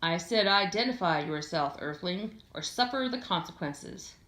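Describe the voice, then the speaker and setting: A woman's voice: on the low side, lively intonation, normal speed, fairly bright tone. A woman voicing a bossy, brainy little boy in a storybook, with an imperious, taunting, commanding manner. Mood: imperious, threatening. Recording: echoey room.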